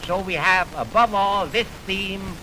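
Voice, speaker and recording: A man hosting a 1920s radio music program, lecturing in a formal, oratorical, measured way on a crackly old broadcast recording. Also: high voice. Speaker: radio host introducing orchestral music. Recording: noisy, crackly 1920s optical film radio aircheck.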